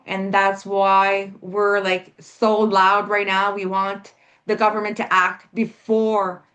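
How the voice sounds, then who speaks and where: A woman's voice: medium pitch, a bit bright. Earnest woman guest on a podcast. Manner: earnest, emphatic, repeats her points. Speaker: guest, health coalition organizer. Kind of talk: casual interview talk.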